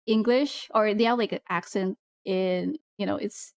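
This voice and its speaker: A young Filipino woman on a stream, talking casually in slow, careful English. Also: mid-range voice, steady tone, gravelly, a little mellow, no echo. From Philippines. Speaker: streamer guest. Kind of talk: casual collab stream chat.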